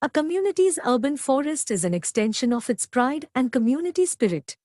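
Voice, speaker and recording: Higher-pitched woman reading aloud, dry sound. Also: a little mellow, no echo. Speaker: exam practice reader. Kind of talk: scripted read-aloud of academic passages.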